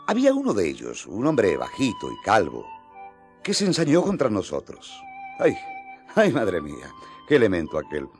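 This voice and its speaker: An apostle narrating his memories in a radio drama, in a folksy storyteller's manner. His lowish voice rises and falls dramatically over faint music. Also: full character voice. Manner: colloquial, expressive storytelling. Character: male apostle recounting memories, colloquial storyteller.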